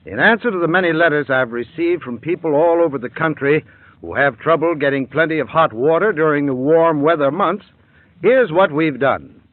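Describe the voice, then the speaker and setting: A man's voice: a little high, normal speed, dry recording. A man reading a scripted radio advertisement as a sponsor spokesman, earnest and persuasive. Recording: tinny dry old radio broadcast.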